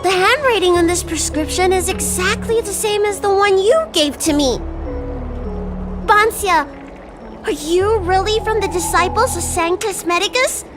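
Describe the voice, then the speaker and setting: A woman's voice: extremely high pitch, measured pace, music in the background. A woman voicing an earnest, trusting young girl healer in a video game, with a childlike, exclamatory way of talking. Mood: suspicious.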